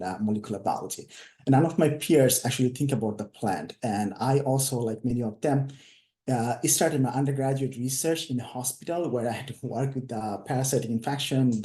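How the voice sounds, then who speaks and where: A man's voice: mid-range voice, mellow, roomy sound. A man from Bangladesh giving a career talk as an invited speaker over a video call, hesitant and full of fillers.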